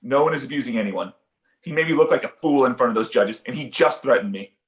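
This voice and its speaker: A man acts out an insecure comedian father in an animated comedy. He sounds indignant and defensive in a mid-range voice. The recording has a clearly echoey room sound. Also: mid-range voice, fast, lightly acted. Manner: defensive, aggrieved. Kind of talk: animated comedy pilot table read.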